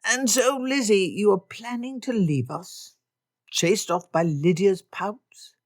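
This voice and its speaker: A woman voicing a weary father with dry, deadpan wit. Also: mid-range voice, slow pace, dry recording, close to an everyday voice. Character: weary dry-witted father of five daughters.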